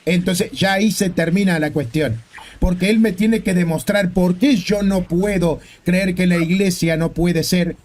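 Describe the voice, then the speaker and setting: High-voiced Rioplatense man debating. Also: steady tone, unhurried, a little mellow. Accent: Rioplatense Spanish. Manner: argumentative, rhetorical questions. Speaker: debate host. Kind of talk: spontaneous religious debate.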